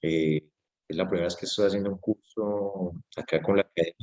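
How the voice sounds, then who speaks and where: Deep-voiced man, hesitant. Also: fairly even intonation, fairly quick, a little mellow, highs cut off. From Colombia. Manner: hesitant, many fillers. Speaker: student. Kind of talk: self-introduction on a video call. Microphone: video call.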